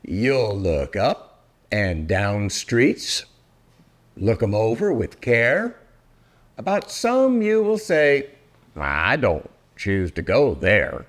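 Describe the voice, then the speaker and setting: An older man performing a children's story aloud in a theatrical, deliberate, welcoming manner. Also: fairly low pitch, sing-song. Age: 60s or older. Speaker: storyteller and host.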